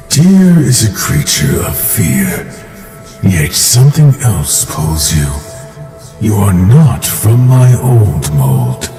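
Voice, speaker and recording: A man playing an ancient, lordly sky god in an animated comic, grand, measured and ominous, with a godlike reverb on the voice. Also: low-pitched, exaggerated voice. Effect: long godlike reverb. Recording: cavernous reverb, music underneath.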